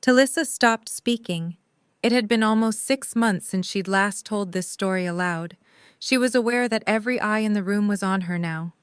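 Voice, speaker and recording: A woman's voice: medium pitch, normal speed, clear, resonant tone, no echo, studio quality. A woman reading scripted third-person story narration for an audio drama.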